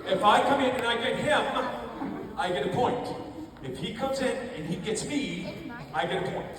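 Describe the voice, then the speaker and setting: A man presenting a playful live show for children, speaking haltingly and checking in often. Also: extremely high pitch. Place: large indoor school assembly hall. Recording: very echoey noisy hall, crowd, far off.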